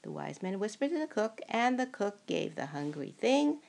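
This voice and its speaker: Older woman, storybook read-aloud. Very creaky, husky voice, unhurried and swooping in pitch. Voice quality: gravelly, creaky. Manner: slow, expressive, acts out dialogue. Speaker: storybook reader. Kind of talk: animated children's picture-book read-aloud.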